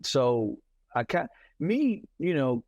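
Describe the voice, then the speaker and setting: A man from Mississippi, telling stories in an animated casual conversation and often repeating himself. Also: mid-range voice, takes it slowly, dry recording, highs cut off. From Mississippi, United States. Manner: animated storyteller, repeats words, says "you know what I'm saying". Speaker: podcast host.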